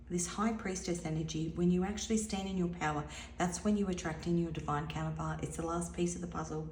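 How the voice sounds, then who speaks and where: A woman giving an intuitive tarot reading in a musing, soft-spoken way, her voice a little hoarse and now and then dropping to a near whisper. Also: fairly low pitch, roomy sound, slight background noise. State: slightly hoarse, losing her voice. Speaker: tarot reader.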